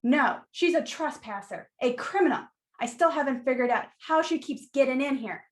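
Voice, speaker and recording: Woman playing sassy flower shop lady in a radio play, really high voice, blunt n teasing. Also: lively intonation. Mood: mock stern. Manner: brisk, wry, teasing.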